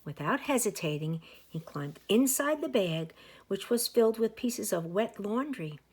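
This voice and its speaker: A woman reading a picture book aloud as a teacher to young children, with expressive, drawn-out emphasis. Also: mid-range voice, a little hiss or hum. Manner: animated, with slow drawn-out emphasis; asides and explanations for young listeners.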